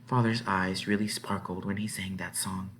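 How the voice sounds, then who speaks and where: A man's voice: deep voice, flat delivery, normal speed, fairly bright tone, slight background noise. Man reading a children's novel dramatically. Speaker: read-aloud narrator. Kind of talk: expressive children's book narration.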